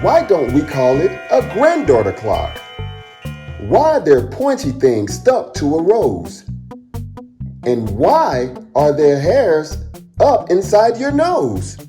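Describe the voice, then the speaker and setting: A man's voice: fairly high pitch, huge pitch swings, slow, mellow, soft background music, voice pushed up, full character voice. A man voicing a curious, eager little girl who asks endless questions in a children's storybook. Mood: curious, wondering. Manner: eager, wondering.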